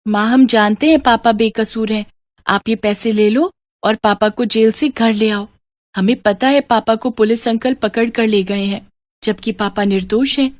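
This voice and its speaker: A woman's voice: on the high side, fairly even intonation, normal speed, dry recording, voice pushed up, natural voice. Woman playing small child, innocent, trusting, earnest, dry storybook recording. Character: innocent, trusting little children consoling their mother.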